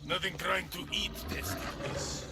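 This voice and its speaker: Very high, boisterous comic brute. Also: male voice, big pitch swings, measured pace, vocal fry, full character voice. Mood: exuberant. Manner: loud broken speech. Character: boisterous, simple-minded comic male brute.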